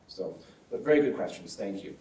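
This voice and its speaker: A male academic answers audience questions at a measured, thoughtful pace, often adding a checking "right?". His voice is mellow and a little dark. It sounds distant from the mic, in a reverberant room. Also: sits low, lively intonation, slight background noise. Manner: thoughtful, frequent "right?" tags.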